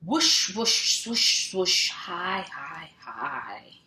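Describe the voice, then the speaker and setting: Slow-paced woman reading to young children, roomy echo. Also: fairly low pitch, big pitch swings, bright, forceful tone. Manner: sing-song, stretches and repeats sound words, asks children simple questions. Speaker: children's teacher reading a picture book aloud. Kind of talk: animated picture-book read-aloud for young children. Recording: roomy echo, clear background noise.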